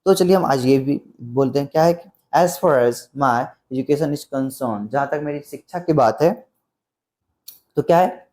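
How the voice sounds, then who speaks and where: Mellow-voiced man teaching step by step. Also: a little high, a little slow. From Bihar, India. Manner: repetitive, step-by-step explaining with example phrases. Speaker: English teacher. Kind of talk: spoken English lesson explained in simple Hindi.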